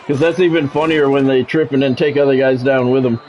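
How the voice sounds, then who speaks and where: A man's voice: medium pitch, fairly even intonation, a little fast, a little mellow, muffled recording, a little hiss or hum. A middle-aged man doing live football game commentary as a streamer, with a practiced sportscaster delivery and casual asides. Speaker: video game streamer and play-by-play announcer.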